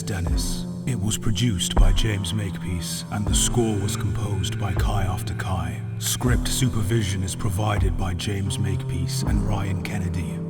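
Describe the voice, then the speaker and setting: Low-voiced man reading over loud music. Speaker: credits announcer. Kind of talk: scripted podcast outro narration.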